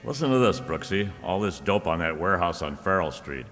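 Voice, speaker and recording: A man's voice: low voice, expressive, a little fast, music in the background. A man playing a dogged, wisecracking private investigator in an old-time radio drama, with clipped, slangy delivery. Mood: urgent, wry. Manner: hard-boiled, slangy, clipped. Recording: reverberant hall, stage-like sound.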